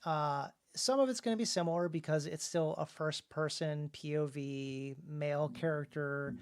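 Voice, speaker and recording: A man's voice: fairly high pitch, normal speed, smooth. A man co-hosting a podcast and talking casually about his writing, often repeating words as he goes. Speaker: podcast co-host and author.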